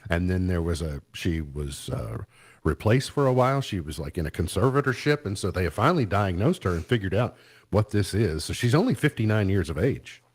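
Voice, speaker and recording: A man with a fairly low voice hosts a morning radio show. He talks through entertainment news in a relaxed, chatty way. He sometimes trails off before finishing a thought. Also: a little fast, slightly rough. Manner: chatty, easygoing, trails off mid-sentence. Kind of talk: casual entertainment news chat.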